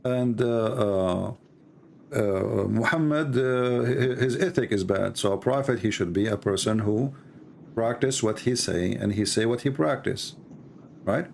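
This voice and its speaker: A man's voice: on the low side, unhurried, gravelly, darkish tone, a little hiss or hum. A man whose first language is Arabic, hosting a live debate call in earnest, repetitive, broken English. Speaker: host of a live debate show.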